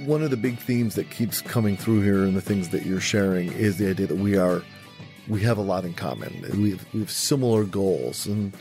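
A man's voice: sits low, moderate pace, music in the background. A man hosting a podcast, asking questions in a conversational interview style.

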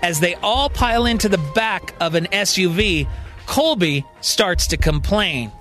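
Higher-voiced male storyteller over soft music. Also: lively intonation, unhurried. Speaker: storyteller, podcast writer and performer. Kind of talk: scripted dramatic narration.

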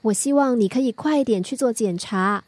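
High young female voice, Singaporean accent, woman acting as cheeky caring daughter, radio drama, close dry sound. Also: normal speed, a little mellow, no echo, close to an everyday voice. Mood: caring, sincere. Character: teasing, caring teenage daughter talking with her mother.

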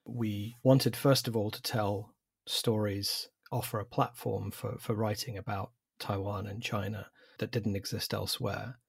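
A man in his forties with an English accent, a publisher answering interview questions in a measured, thoughtful way with some fillers. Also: lowish voice, little pitch movement, vocal fry, breathy edge, dry recording, studio quality. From England. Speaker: publisher, guest.

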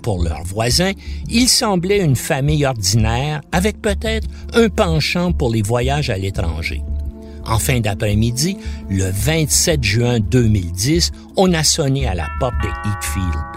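A man's voice: medium pitch, big pitch swings, a little slow, soft background music. A man narrating a scripted documentary as a podcast host, in a dramatic storytelling manner.

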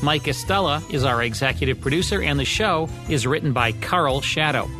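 A man's voice: a little high, brisk. An American man co-hosting a radio show, reading scripted narration in a polished presenter's manner. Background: music.

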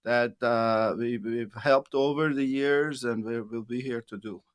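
A man's voice: medium pitch, fairly even intonation, unhurried, dry recording, studio quality. A man in his sixties, a financial advisor hosting a radio show, chatting casually with plenty of fillers and repeated words. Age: 60s.

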